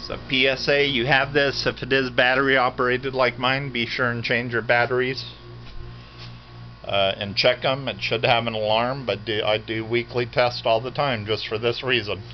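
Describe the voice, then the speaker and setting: A man's voice: medium pitch, steady tone, normal speed, soft background music. An American man in his fifties giving a casual, rambling, matter-of-fact walk-through commentary into a handheld camera. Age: 50s. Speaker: vlogger, farm resident. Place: indoors, cluttered concrete basement. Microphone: handheld camera at arm's length. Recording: dull lo-fi camera audio, loud background sound. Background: loud continuous radio playing.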